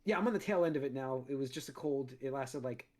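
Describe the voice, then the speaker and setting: A man hosting a casual podcast at his studio mic, his mid-range voice a touch raspy from a recent cold. He talks in loose, rambling runs, stopping and restarting often. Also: mid-range voice, fast, darkish tone. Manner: rambling, many restarts and fillers. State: recovering from a cold, slightly raspy. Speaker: podcast host. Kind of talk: casual chat.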